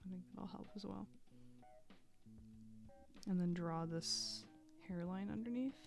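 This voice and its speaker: A woman chatting casually while she draws on a live stream, thinking aloud with fillers and little laughs, a bit hoarse as she loses her voice. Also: medium pitch, a little slow, faint music behind. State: losing her voice.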